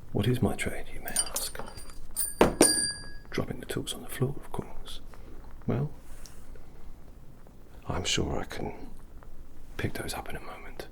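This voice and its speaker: A man's voice: lowish voice, wildly swooping pitch, measured pace, creaky, long pauses, exaggerated voice. A man whispering as a chatty, muddled Victorian graverobber in an ASMR roleplay. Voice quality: soft whisper. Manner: rambling, muddled and chatty, loses count. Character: bumbling Victorian graverobber tending a corpse.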